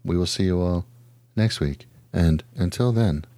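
A man with a lowish voice, recorded close and dry, chats casually as a podcast host. He rambles and swears freely, with self-deprecating humour and a tendency to rant. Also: a little slow, warm tone, no room sound. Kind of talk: casual comedic podcast chat and ranting.

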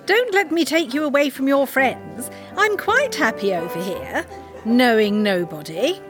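A woman playing an indignant middle-class Victorian English wife in a comic period drama, speaking with sneering, deliberate sarcasm. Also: sits high, sing-song, music in the background. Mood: frostily aggrieved.

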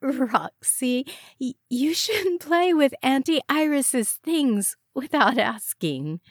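A woman voicing a patient, placating young mother in an audiobook, with a gentle, reasoning manner. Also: fairly high pitch, big pitch swings, unhurried, no room sound, clean studio sound, voice pushed up. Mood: coaxing.

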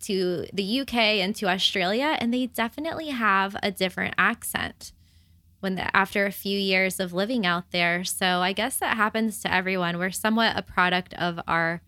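American woman hosting a casual travel podcast, sharp bright voice, dry close recording, easygoing with fillers. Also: medium pitch, moderate pace, no room sound. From United States. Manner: friendly, thinks aloud with fillers. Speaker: podcast host. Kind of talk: casual interview chat.

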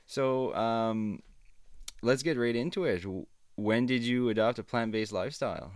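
A man's voice: mid-range voice, unhurried, dry recording, studio quality. A man hosting a podcast, asking questions with brief friendly prompts. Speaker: podcast host. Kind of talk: casual interview hosting.

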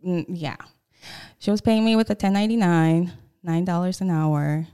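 A woman's voice: on the low side, normal speed, warm tone, clean tone. A woman in her thirties from El Salvador with a Salvadoran Spanish accent, chatting candidly as a podcast guest. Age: 30s. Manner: candid, chatty, laughs often. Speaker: guest, loan officer. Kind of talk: casual podcast chat.

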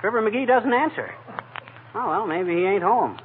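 A man's voice: high-pitched, lively intonation, normal speed. A man playing a cocky, bumbling, folksy husband, breezy and jokey in the manner of 1940s radio comedy. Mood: muddled, animated. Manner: breezy, jokey, over-familiar. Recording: tinny, noisy old radio recording.

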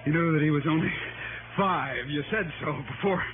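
An American actor playing a spiteful, gloating would-be murderer in a 1960s radio drama, taunting and sneering. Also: male voice, a little high, moderate pace, lightly acted. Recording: noisy, tinny old radio broadcast.

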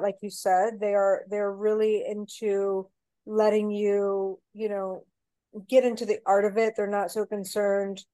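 A woman's voice: medium pitch, dark tone, dry recording. A woman from Mexico, a theater director, talking casually in an interview with lots of filler words. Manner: chatty, rambling with many "you know" fillers.

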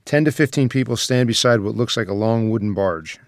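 Low-voiced man narrating a script, dry. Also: brisk, no echo. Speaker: narrator reading stage directions. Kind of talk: scripted narration at a screenplay table read.

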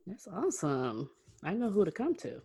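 A woman hosts a casual podcast interview with bubbly enthusiasm and frequent laughter. Her voice sits notably low for a woman. It is recorded dry and close, with no room sound. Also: very animated, normal speed, warm tone, slightly rough, dry recording. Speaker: podcast host.

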